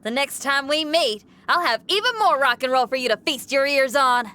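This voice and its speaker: A woman's voice: high-pitched, normal speed, sharp tone. A woman playing an upbeat, folksy young female rock musician in a video game. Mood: bashful, cheerful. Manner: folksy, spirited.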